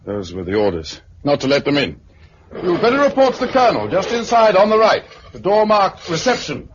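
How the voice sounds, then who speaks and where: A man playing a brisk sentry directing newcomers in a 1950s radio drama. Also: high-pitched, huge pitch swings. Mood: brisk, guarded. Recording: noisy, muffled 1950s radio recording.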